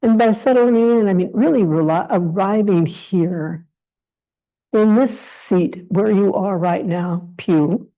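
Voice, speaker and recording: A woman leading a guided meditation as a teacher, speaking in short, gentle phrases of invitation with long pauses between them. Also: mid-range voice, low-quality recording.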